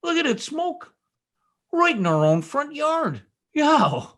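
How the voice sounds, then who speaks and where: Guy doing old radio comedy husband, blustery and boastful, folksy talk, really animated high voice. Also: slow, full character voice. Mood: frantic excitement. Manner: folksy, blustering speech with quaint exclamations. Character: blustery, excitable, boastful small-town husband, folksy skeptic. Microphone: video call microphone. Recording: video call recording.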